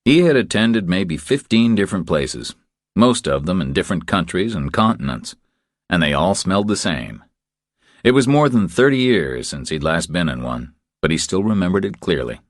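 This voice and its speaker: A man's voice: deep voice, big pitch swings, moderate pace, no room sound, clean studio sound. A man narrating a thriller audiobook from a script.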